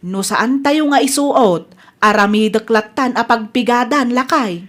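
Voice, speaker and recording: A woman plays a thrifty, trusting village wife with a fairly high voice. She speaks with an Ilocano accent in the style of a radio comedy drama. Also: unhurried, fairly bright tone. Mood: coaxing.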